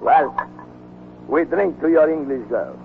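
An affectionate, excitable in 1940s radio style. The voice is fairly high and very lively, on a tinny old broadcast recording. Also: male voice, a little slow, gravelly, a little hiss or hum. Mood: fond, excitable. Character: excitable, affectionate Italian man in wartime. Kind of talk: 1940s radio drama acting.

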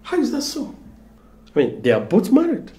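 A man's voice: very high voice, huge pitch swings, normal speed, warm tone, exaggerated voice. A man playing a stubborn, doctrinaire pastor who argues in formal words in a drama. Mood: defensive, insistent. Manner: argumentative, formal wording. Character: stubborn, doctrinaire pastor defending his advice. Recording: echoey room.

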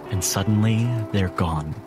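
A man's voice: low voice, unhurried. A man narrating a tabletop roleplaying game as the game master. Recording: music bed under the voice. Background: background music.

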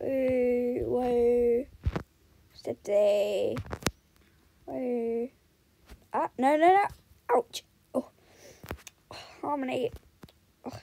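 Young woman, slow-paced, slightly high voice, casual riding commentary, short soothing commands and praise to a horse. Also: vocal fry, dark tone, a little hiss or hum. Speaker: horse rider in a voiced role-play video. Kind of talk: casual vlog commentary with coaxing asides to a horse.

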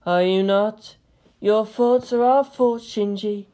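Casting: woman as imperious alien seeress for an audio story, raised pitch, haughty, scolding. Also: fairly even intonation, slow pace, dark tone, lightly acted. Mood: solemn. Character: haughty, indignant female alien Farseer figurine.

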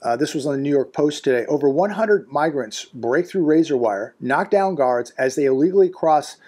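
A man's voice: a little high, animated, moderate pace, warm tone. A man in his fifties, seated indoors in a living room, giving an unscripted, alarmed commentary monologue. He is emphatic and repetitive, with frequent restarts and fillers. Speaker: commentary vlogger.

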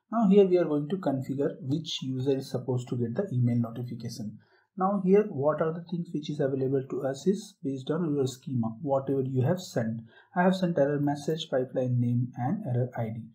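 Mellow-voiced man explaining a software demo. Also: medium pitch, low-quality recording. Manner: explanatory, step by step, with fillers. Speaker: tutorial presenter. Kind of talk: screen-share software tutorial.

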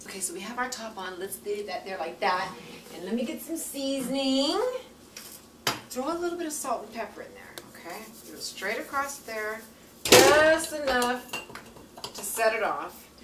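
A woman's voice: a little high, lively intonation, moderate pace, background noise. A woman hosting a home cooking show, chatty and folksy, often checking in with "okay?" as she demonstrates, with pans sizzling behind her. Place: home kitchen. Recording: echoey kitchen, voice from far off, loud cooking clatter. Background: sizzling pans and clinking utensils.

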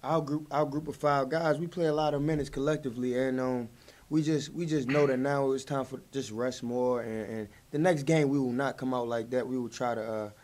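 A young man, a college basketball player, giving laid-back, low-key answers at a post-game press conference into a table mic. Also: fairly even intonation, a little fast, darkish tone. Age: young adult. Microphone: table mic in front.

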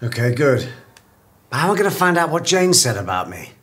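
A man's voice: medium pitch, big pitch swings, brisk, a bit bright. An actor playing a needy, paranoid middle-aged man, insistent and indignant, in a screen comedy. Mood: sulky, fretful. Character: needy, paranoid, self-absorbed middle-aged radio drama writer. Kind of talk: naturalistic screen comedy acting. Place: indoor office.

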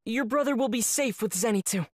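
Earnest, slightly husky teenage boy. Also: extremely high pitch, fairly quick, no echo, studio quality. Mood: focused. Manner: earnest, assured. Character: kind, earnest, reassuring teenage boy with keen smell. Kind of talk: anime dub acting.

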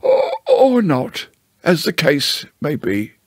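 A man acts out a small, polite storybook bear, slow of wit and always a little hungry. The voice sits high and swings up and down. He stammers gently and repeats himself in a humble, dreamy way. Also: wildly swooping pitch, husky, no room sound, clean studio sound, full character voice. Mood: wistful. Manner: hesitant, stammering, repeats words. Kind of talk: storybook character voice.

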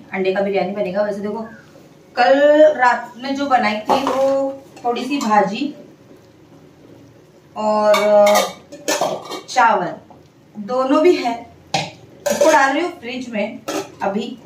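A woman's voice: on the high side, reverberant, distant mic, background noise. A young woman chatting casually to her viewers in a home vlog, talking through her shopping and cooking at home. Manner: chatty, talks to her baby in a sing-song coaxing way. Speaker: family vlogger. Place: home kitchen.

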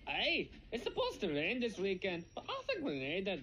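A woman's voice: mid-range voice, huge pitch swings, moderate pace, highs cut off. A woman voicing a forthright male steam engine in a cartoon, scornful of bullies. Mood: friendly.

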